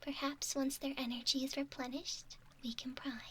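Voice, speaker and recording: A woman playing a sweet, curious, cautious young mermaid in a whispered ASMR roleplay, with a soft, breathy, girlish voice and a wondering, halting delivery. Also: sits high, level tone.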